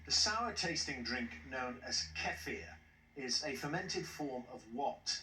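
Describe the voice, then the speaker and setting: A man hosting a television quiz show, jovial and quick to chuckle at the contestants. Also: medium pitch, animated, bright, forceful tone. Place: TV studio. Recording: echoey studio, mic at a distance, faint hiss.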